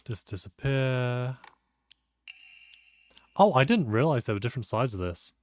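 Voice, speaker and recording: A man's voice: mid-range voice, animated, measured pace, no echo. A man streaming a game, thinking aloud about a puzzle in a casual, sleepy, self-deprecating way. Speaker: gameplay streamer. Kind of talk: casual let's-play commentary.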